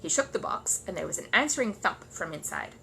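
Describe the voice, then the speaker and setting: A woman reading a children's story aloud in animated storybook narration. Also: on the low side, fairly quick, creaky, slight background noise.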